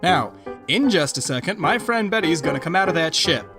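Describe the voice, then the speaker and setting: A man's voice: on the high side, lively intonation, fairly quick, natural voice. A man playing an easygoing, placating navy ensign in an audio drama. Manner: casual, placating. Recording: music behind the voice.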